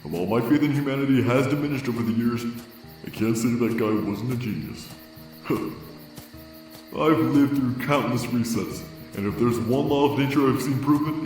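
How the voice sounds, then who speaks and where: A man's voice: mid-range voice, expressive, normal speed, a little mellow, faint music behind, lightly acted. Man voicing a laid-back skeleton in heavy echo. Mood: brooding, reflective. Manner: casual, wry. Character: laid-back, punning skeleton older brother, brooding. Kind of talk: fan comic dub voice acting. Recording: echoey, distant mic.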